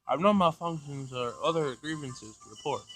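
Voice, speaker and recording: A man's voice: a little high, a little fast, fairly bright tone, soft background music, close to an everyday voice. A man voicing a polite, literal-minded robot assistant from a visual novel, speaking in a precise, formal way. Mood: matter-of-fact. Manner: precise, formal, matter-of-fact. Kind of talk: visual novel character reading.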